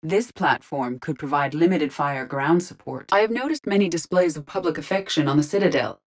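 A woman's voice: sits low, fairly quick. A woman playing a logical, composed starship AI in an android body, speaking scripted game lines with precise, formal diction. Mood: composed, analytical. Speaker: video game character voice actor.

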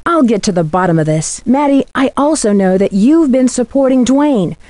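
Casting: actress for sharp young girl sleuth, adventure game. Slightly high voice, earnest and questioning, crisp diction, very dry sound. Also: lively intonation, moderate pace, dry recording, natural voice. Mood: friendly, earnest. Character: earnest, sharp young female amateur detective. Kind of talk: video game voice acting.

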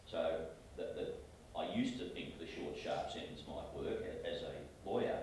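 An Australian man speaking as a panelist in a measured, reflective way. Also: very deep voice, animated, a little mellow, a little hiss or hum. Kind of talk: panel discussion. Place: small meeting room. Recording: echoey room from far off.

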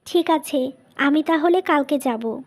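A woman's voice: sits high, a little slow. A woman voicing a plaintive yet trusting young wife in a scripted cartoon. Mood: accepting.

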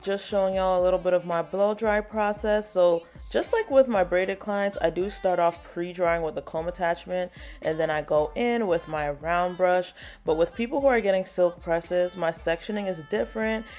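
A woman hairstylist talks viewers through her workday in a relaxed, chatty vlog voice that sits a little low. She explains each step as she goes, and faint music plays quietly underneath. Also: moderate pace. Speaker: natural hairstylist. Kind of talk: casual vlog narration.

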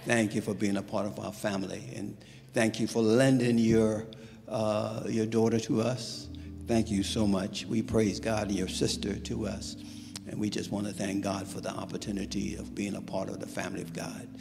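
Low gravelly pastor guy greeting the church, big echoey hall. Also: flat delivery, moderate pace, music in the background. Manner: warm call-and-response greeting. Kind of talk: church welcome from the pulpit. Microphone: stand mic at pulpit.

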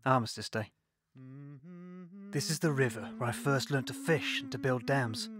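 A man's voice: medium pitch, moderate pace, crowd noise, lightly acted. An English actor playing a man recalling his father and his childhood, in a reflective radio play monologue. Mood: wistful.

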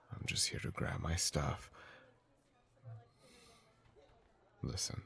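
Casting: male actor for a guarded young bully in intimate ASMR roleplay, extremely low voice, slow and halting, gruff but secretly tender. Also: heavily acted. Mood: guarded, curt. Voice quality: husky, gravelly, breathy voice. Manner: hesitant, halting, often dropping into a whisper.

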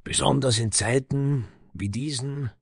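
A man voicing a calm, thoughtful soldier adventurer in a fantasy audio story, with a gravelly, husky voice. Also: medium pitch, unhurried, no echo, studio quality, pitched above the performer's own voice. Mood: quiet, admiring.

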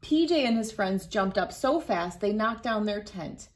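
Slow, gentle woman reading to kids. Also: medium pitch, animated. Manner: gentle, playful, laughing often. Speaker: children's book reader. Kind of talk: read-aloud storytime for kids. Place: bright room indoors.

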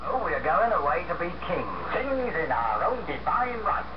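An actor playing a brash, roguish adventurer, swaggering and colloquial, in a 1940s radio drama. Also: male voice, a little high, sing-song, normal speed, distant mic. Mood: grandly determined. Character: brash roguish British adventurer scheming to be king. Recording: noisy muffled old shortwave radio, echoey.

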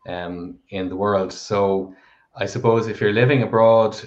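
A middle-aged man with a very deep, even voice talks through viewer questions on a video call. He is measured and reflective, often pausing with "I suppose". The headset audio sounds dull and lo-fi. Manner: measured, thoughtful, with frequent "I suppose". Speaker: co-host of a live Q&A. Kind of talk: live-stream Q&A answering viewer questions. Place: home room with a plant behind.

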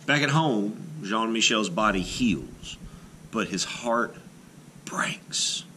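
Animated low-voiced man reading a storybook. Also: slow pace, a little hiss or hum, low-quality recording. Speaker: art teacher reading a picture book aloud. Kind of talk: expressive children's picture book read-aloud.

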